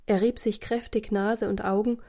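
A woman's voice: medium pitch, little pitch movement, normal speed, dark tone. A woman narrating a scripted children's story as a storyteller.

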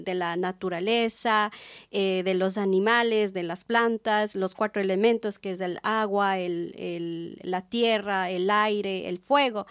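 A woman with an Ecuadorian highland accent speaks as an invited guest. Her pitch sits in the middle of the female range. She delivers prepared answers in an interview, like an artisan presenting her craft. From Chimborazo, Ecuador.

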